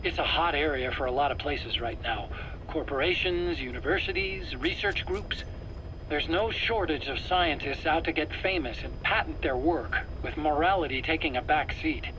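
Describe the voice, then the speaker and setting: An actor playing a nervous, eager scientist under interrogation in a video game, self-justifying in an earnest, explanatory, pleading way. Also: male voice, fairly high pitch, normal speed, bright, forceful tone, low-quality recording. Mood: secretive, insistent. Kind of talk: dramatic video game voice acting. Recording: faint background noise, cassette tape interrogation.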